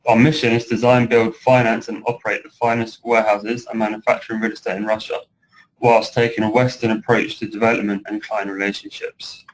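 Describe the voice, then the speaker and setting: A British man of around thirty giving a measured webinar presentation as a guest speaker. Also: fairly low pitch, little pitch movement, a little hiss or hum, low-quality recording. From United Kingdom. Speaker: guest speaker, project director.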